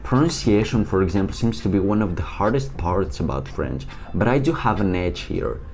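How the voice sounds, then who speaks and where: Deep-voiced Portuguese young man vlogging. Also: expressive, a little mellow. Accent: European Portuguese. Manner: chatty and upbeat, quick asides. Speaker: language-learning YouTuber. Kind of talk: casual solo vlog.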